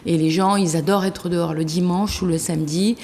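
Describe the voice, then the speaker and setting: A woman's voice: fairly low pitch, steady tone, fairly quick, mellow. A woman in her fifties from Réunion, chatting as a guest in an interview and laughing often. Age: 50s. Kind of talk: casual interview chat. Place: TV studio. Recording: reverberant room with faint noise.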